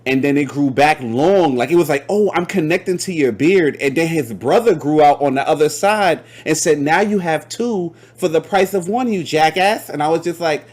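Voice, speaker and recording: Young male host, late 20s, laid-back slangy delivery, slightly higher than usual pitch. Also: moderate pace, few pauses, darkish tone. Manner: slangy, joking, talks over guest. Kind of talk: casual interview.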